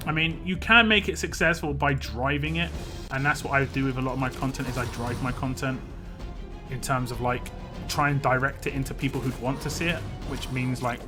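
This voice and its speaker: A man chatting casually on a live stream while he plays a game, rambling and answering chat. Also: medium pitch. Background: game music.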